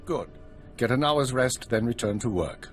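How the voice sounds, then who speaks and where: An actor playing a stern, weary older spymaster in a video game, giving orders in a measured, authoritative way. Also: male voice, mid-range voice, expressive, faint music behind, lightly acted. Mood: grave. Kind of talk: video game cutscene acting.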